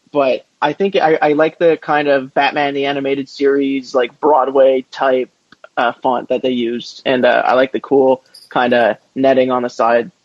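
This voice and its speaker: A man's voice: mid-range voice, no room sound. A man co-hosting a casual podcast, easygoing and a little hesitant. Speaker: podcast co-host. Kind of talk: casual chat. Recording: slightly dull dry recording.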